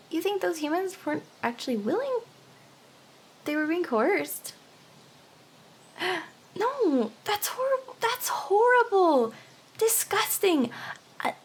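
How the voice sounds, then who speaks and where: Girl doing a hyper anime harpy, really high voice, lovesick and desperate, stammery, whispers sometimes, asmr roleplay. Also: slight background noise, full character voice. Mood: horrified. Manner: earnest, pleading, stammering, breaking into whispers. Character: hyper, lovelorn young female harpy desperately courting a human.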